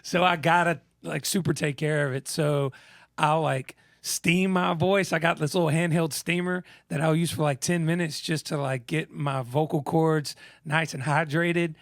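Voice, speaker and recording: A man's voice: fairly high pitch, fairly even intonation, normal speed, gravelly, a bit bright, dry recording. Scratchy-voiced man in a dry studio. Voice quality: naturally scratchy voice. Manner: laid-back, laughs easily. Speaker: guest musician. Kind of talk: radio interview chat.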